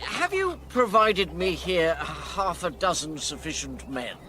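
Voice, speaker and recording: An actor playing a pompous, roguish old English knight in a radio drama, blustering with sighs and hesitations. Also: male voice, high voice, sing-song, a little slow, background noise. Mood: commanding. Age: older. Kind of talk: classical radio drama acting.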